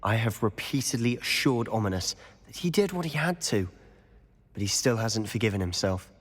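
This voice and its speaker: A brooding teenage wizard in a video game. His voice sits fairly low, and he speaks in a serious, persuasive, confiding way. Long reverb rings around it. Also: animated, normal speed, husky. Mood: grave, confiding. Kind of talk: video game cutscene acting.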